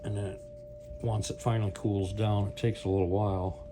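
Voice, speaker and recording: Man, lowish pitch, casual reviewer, unscripted, rambling, noticeable background hum. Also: a little fast. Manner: rambling, thinking aloud. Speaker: product review video host. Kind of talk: casual unscripted product demonstration. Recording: clear steady hum and background noise.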